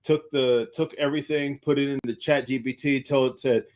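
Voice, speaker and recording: A man co-hosting a casual unscripted podcast, talking in a halting, rambling way and often repeating his phrases. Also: mid-range voice, steady tone, darkish tone. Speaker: podcast co-host.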